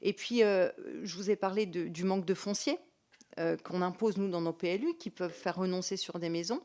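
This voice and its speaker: A woman's voice: on the low side, fairly quick, a little mellow. A woman speaking as a local-government representative at a hearing, semi-formal and earnest, pressing her points emphatically. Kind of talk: semi-formal spoken testimony, answering questions.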